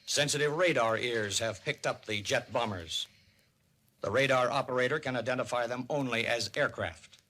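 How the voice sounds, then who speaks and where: An American man narrating a 1950s radio civil defense documentary in a grave, emphatic, deliberate manner. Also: medium pitch, bright, forceful tone. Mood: grave, urgent. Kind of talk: 1950s radio documentary narration, dramatic public-service announcing. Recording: vintage 1950s radio recording.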